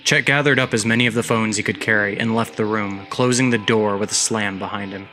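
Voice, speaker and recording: A man hosting his own podcast, narrating a story and giving announcements in a steady, measured way. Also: lowish voice. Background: background music.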